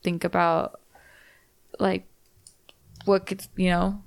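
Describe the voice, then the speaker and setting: A woman's voice: fairly low pitch, little pitch movement, takes it slowly, gravelly. Blunt, swearing podcast woman. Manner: blunt, swears freely, rambling. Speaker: podcast co-host. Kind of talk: casual chat.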